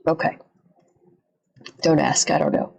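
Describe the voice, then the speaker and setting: A woman's voice: sits low, steady tone, unhurried, vocal fry, darkish tone, muffled recording. A woman livestream host giving casual, slangy reaction commentary, repeating phrases and swearing a little.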